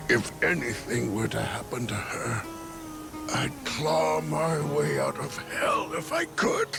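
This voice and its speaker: An actor playing a dying treasure hunter father, wistful and tender, with a breathy, halting delivery in a dramatic game cutscene. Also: fairly high pitch, full character voice. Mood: pleading, tender. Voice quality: breathy, gravelly. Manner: halting, labored speech. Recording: music under the voice.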